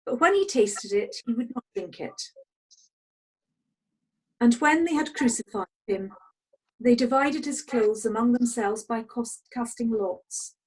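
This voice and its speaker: A woman with a husky voice, narrating a scripted dramatic scripture reading over a video call. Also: on the high side, lively intonation, a little slow, poor audio. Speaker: narrator in a congregation's Passion reading.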